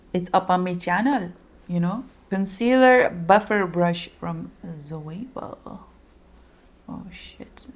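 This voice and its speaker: Young woman, Dutch accent, lowish gravelly voice, chatty beauty vlogger. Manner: chatty, rambling with many fillers. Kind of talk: casual makeup tutorial chat.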